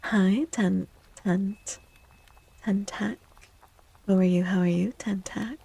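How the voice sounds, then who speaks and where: A soft-spoken woman chatting in a gentle, playful ASMR style as a streamer, often dropping to a whisper. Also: mid-range voice, steady tone, takes it slowly, gravelly, slightly rough, dry recording, poor audio. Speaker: live streamer reading tarot cards for chat. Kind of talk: soft-spoken ASMR chat, greeting viewers.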